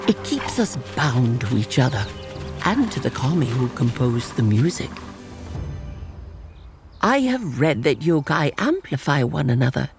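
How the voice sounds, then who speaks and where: An actor as a slow, serene animal spirit storyteller over music. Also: medium pitch, big pitch swings. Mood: reverent storytelling. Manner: measured, reverent, gentle. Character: serene, kindly yokai animal wedding officiant and storyteller. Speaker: officiant and narrator. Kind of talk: scripted ceremonial storytelling. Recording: music playing behind the voice.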